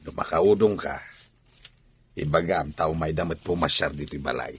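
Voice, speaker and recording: A man's voice: extremely low pitch, normal speed, breathy edge, full character voice. A man with an Ilocano accent playing a plain-spoken husband and father in a radio drama. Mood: reproving. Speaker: voice actor.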